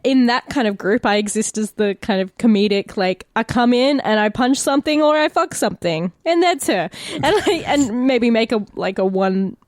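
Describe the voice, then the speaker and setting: A woman's voice: fairly high pitch, expressive, normal speed, no echo, clean studio sound. An Australian woman in casual podcast chat, speaking informally and hesitantly as she thinks aloud. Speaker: podcast guest, tabletop player and actor.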